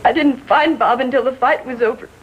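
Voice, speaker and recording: An American woman playing a distraught, lovestruck sixteen-year-old girl in a 1950s TV drama, speaking in short, halting sentences. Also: high voice, lightly acted. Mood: shaken recounting. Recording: faintly noisy vintage TV soundtrack.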